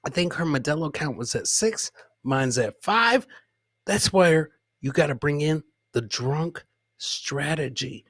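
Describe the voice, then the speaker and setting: A man hosting a live comedy podcast, casually reacting to funny clips in a rambling, jokey, animated way and repeating his catchphrases. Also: fairly high pitch, measured pace, no room sound, studio quality.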